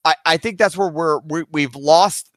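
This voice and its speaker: A man's voice: fairly high pitch, moderate pace, no echo, studio quality. A man from Alaska on a panel, rambling through his points with lots of fillers and "right?". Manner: rambling, keeps adding "right?", many fillers. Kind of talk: heated panel discussion on a live stream.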